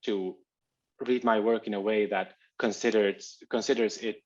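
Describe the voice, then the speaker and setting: Man, Albanian speaker, lowish voice, reflective and halting, dull lo-fi video call sound. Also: fairly even intonation. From Finland. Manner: halting, reflective, searching for words. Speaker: author guest. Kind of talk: thoughtful interview conversation.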